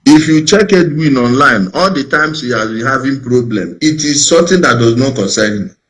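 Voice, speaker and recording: A man's voice: mid-range voice, expressive, normal speed, a bit bright, rough recording. A man hosting a heated call-in debate, urgently cutting in to steer the talk. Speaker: host.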